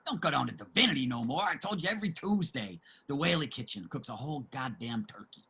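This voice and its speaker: A man playing a streetwise homeless man in a play reading, generous and opinionated, with salty, emphatic street talk and a husky voice. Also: medium pitch, lively intonation, fast, sharp tone, exaggerated voice. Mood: exasperated, boastful. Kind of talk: dramatic table reading of a stage play.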